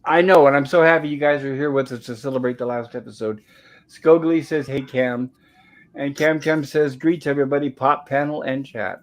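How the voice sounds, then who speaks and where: A man hosting a casual livestream chat, polite and welcoming, with a slightly raspy throat irritated by smoke. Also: mid-range voice, steady tone, moderate pace. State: throat irritated by smoke, holding back a cough. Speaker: livestream host.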